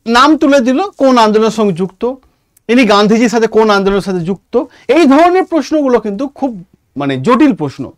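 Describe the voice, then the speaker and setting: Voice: mid-range voice, no echo, studio quality. A teacher with an Indian Bengali accent giving an online exam lesson, explaining emphatically and often checking understanding with short questions. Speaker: exam-prep history teacher.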